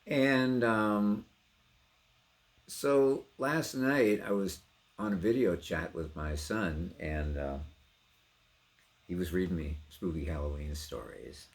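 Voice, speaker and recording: A man's voice: low voice, takes it slowly, long pauses. A middle-aged man giving a casual personal introduction to a reading, with emotive, theatrical emphasis. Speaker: poetry reader and trained actor.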